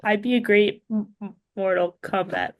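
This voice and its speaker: A woman with a medium-pitched voice chats casually as a podcast guest. She is expressive and talkative, and her voice is recorded dry, without audible room sound. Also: normal speed, dry recording.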